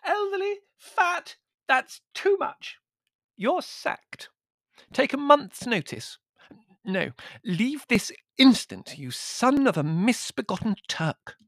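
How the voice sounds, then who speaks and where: A man's voice: huge pitch swings, unhurried, no room sound, heavily acted. A man voicing a tiny, plump elderly countess in an audiobook, effusive and theatrical, with a musical little-girl voice. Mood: shrill outrage. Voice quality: musical little-girl voice, shrill when outraged. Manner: effusive, breathy exclamations, repeats herself.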